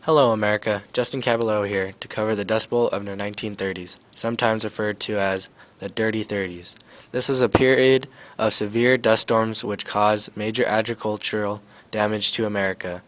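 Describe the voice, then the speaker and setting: A young man reading a school-project news report on the radio era, quick and loud. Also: lowish voice, steady tone, a little hiss or hum. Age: teens.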